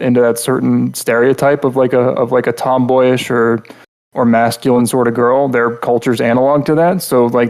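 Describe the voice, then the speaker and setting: A man co-hosting a podcast, talking through a casual critique in a rambling, halting way and often repeating words mid-thought. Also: mid-range voice, a little mellow. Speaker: podcast host reviewing game characters.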